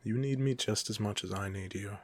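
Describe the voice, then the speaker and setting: A man playing a cocky, playful, secretly soft-hearted young supervillain in a casual, intimate roleplay audio drama, teasing the listener as he talks. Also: lowish voice, a little fast, natural voice. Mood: smug, persuasive. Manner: teasing, casual, smug, conversational.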